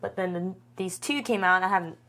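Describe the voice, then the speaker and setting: A woman's voice: lowish voice, fast, a bit bright. A woman co-hosting a podcast, chatting casually and informally as she reviews a series. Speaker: podcast co-host. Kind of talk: casual chat reviewing a web horror series.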